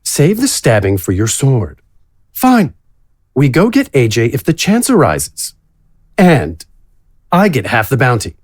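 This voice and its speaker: A man playing a grizzled, gruff warrior who bosses a gang in a terse, commanding way. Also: medium pitch, wildly swooping pitch, measured pace, pauses often, no echo, clean studio sound. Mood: gruff, grudging.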